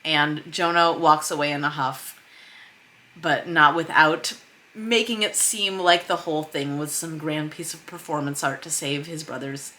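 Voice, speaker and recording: A woman's voice: low-pitched, steady tone, a little slow, sharp tone. A woman hosting a filmmaking vlog, explaining her process in a chatty way with emphasis on key words. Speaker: host of a filmmaking vlog series. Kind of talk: explanatory vlog to camera with screen share.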